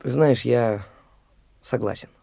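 A man's voice: medium pitch, takes it slowly, gravelly, warm tone, husky, no echo, exaggerated voice. An actor playing Mitya, a brash, pushy young man who talks in a taunting, blunt way, in a studio radio play.

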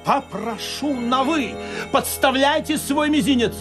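A man's voice: very high voice, measured pace. An actor playing an ardent, ironic adventurer who tells his comic tale in a theatrical, confiding radio style. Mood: sardonic. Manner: theatrical, confiding, lingers on words. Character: adventurer narrator, ardent, histrionic, ironic romantic hero. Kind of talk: dramatised comic storytelling for radio. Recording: studio radio play with music.

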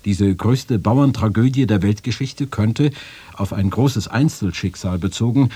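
Actor as a husky, mellow, deferential male letter writer. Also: lowish voice, measured pace, no echo. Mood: earnest, formal. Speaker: quote reader in a radio feature. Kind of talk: formal reading of historical letters.

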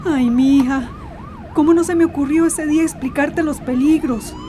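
A woman's voice: high-pitched, fairly even intonation, normal speed, background noise, close to an everyday voice. A woman playing an anxious, devoted mother in a radio drama, speaking emotionally and colloquially with a Central American accent. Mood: anguished. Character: anxious devoted mother searching for her missing daughter.

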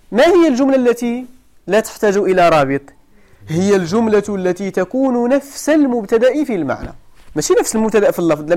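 Lively high-pitched Moroccan teacher. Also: male voice. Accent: Moroccan Arabic. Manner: questions the students often, repeats points for emphasis. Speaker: teacher of Arabic grammar. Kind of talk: classroom lesson.

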